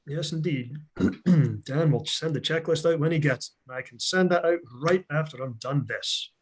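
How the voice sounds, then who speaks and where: A middle-aged man from Scotland speaks with a blended Scottish and American accent, his voice sitting a little high for a man. He presents a technical seminar over a video call, chatty and energetic with frequent jokes. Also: moderate pace, a little hiss or hum. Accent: mixed Scottish and American.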